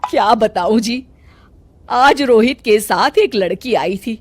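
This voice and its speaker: A woman's voice: on the high side, expressive, slow pace, no echo, soft background music. A woman voicing a bossy, fretful middle-aged mother-in-law with a commanding manner in a cartoon story. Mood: worried. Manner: commanding, scolding.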